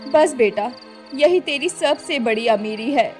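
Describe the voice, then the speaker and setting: A woman voicing a wise, caring old village mother in a moral cartoon story, gentle and cautioning. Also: high-pitched, expressive, takes it slowly, faint music behind. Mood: approving.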